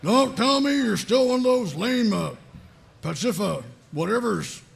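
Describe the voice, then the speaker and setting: A gravelly, very high-pitched sergeant for a comedy script. He is gruff, bossy and pompous, barking orders in wide, animated swings over faint background noise. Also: a little slow, heavily acted. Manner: barking, pompous military commands. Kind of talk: comedy script table read.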